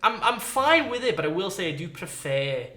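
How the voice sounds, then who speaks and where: A man co-hosting a casual podcast, speaking in a halting, blunt way peppered with filler words. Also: high voice, sing-song, pushed and bright. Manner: halting, heavy use of "like", blunt swearing. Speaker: podcast co-host. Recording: echoey room, music underneath.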